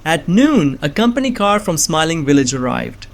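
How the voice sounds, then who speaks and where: A man playing a nerdy, anxious young man on the run, narrating a suspense story in a measured, earnest way. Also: fairly high pitch, sing-song, gravelly, a little hiss or hum. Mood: tense, measured. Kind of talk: first-person scripted narration of suspense fiction. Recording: music behind the voice.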